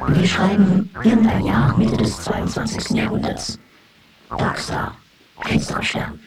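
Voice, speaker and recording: Creaky, gravelly male announcer. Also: high-pitched, level tone, a little slow, recorded from a distance, crowd noise. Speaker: announcer of source credits. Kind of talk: scripted documentary announcements.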